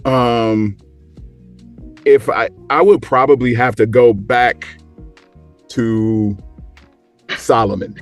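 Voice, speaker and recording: A man chatting casually in a group, informal and often halting as he searches for his words. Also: medium pitch, fairly even intonation. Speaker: show guest. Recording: background music under the voice.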